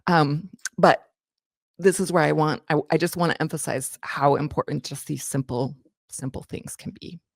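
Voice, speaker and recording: Low gravelly woman, enthusiastic co-host. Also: normal speed, no echo, studio quality. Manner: enthusiastic, cites research, many fillers like "like" and "um". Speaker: co-host and author. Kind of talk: casual explanatory chat.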